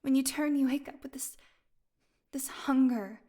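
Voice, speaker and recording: A starving vampire woman held captive, acted out by a woman in an intimate audio drama. Her fairly high voice moves slowly and haltingly, often thinning into a breathy whisper. She is sardonic and proud, with desperation underneath. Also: fairly even intonation, mellow. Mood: pleading, haunted.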